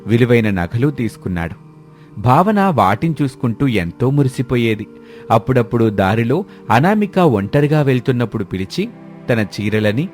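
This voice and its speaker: A man's voice: medium pitch, a little mellow. A man reading a scripted story as its narrator.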